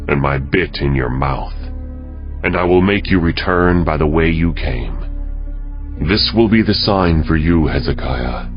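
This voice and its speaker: An actor playing a stern prophet who proclaims God's judgement in a measured, declamatory way for a dramatized Bible audio production. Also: male voice, very deep voice. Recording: dull audio with music underneath. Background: music.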